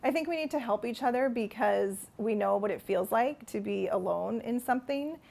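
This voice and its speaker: Heartfelt woman with a creaky voice. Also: a little high, normal speed, darkish tone. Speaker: person who stutters. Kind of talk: testimonial interview.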